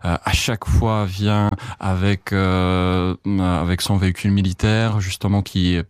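A man speaks in a deep, low voice, pausing often and restarting his sentences. The sound is dry and close, with no room around it. He answers questions as a journalist. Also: flat delivery, clear, resonant tone, no room sound. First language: Czech. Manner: hesitant, many fillers and restarts. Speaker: journalist, correspondent in France. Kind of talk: interview answers.

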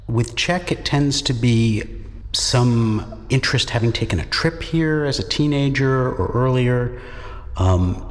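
A middle-aged man, a native English speaker and university lecturer, giving thoughtful, articulate interview answers in a reflective, lightly self-deprecating way. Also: fairly low pitch. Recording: echoey hall with long reverb.

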